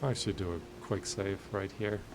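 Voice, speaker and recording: A man giving casual let's play commentary, musing aloud in unhurried asides as he hosts a gaming video. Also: low-pitched, steady tone, background noise.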